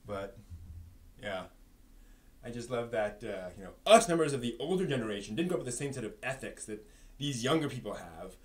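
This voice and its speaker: A man hosting a live stream with casual, rambling commentary, often halting and repeating his words. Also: mid-range voice, animated, darkish tone.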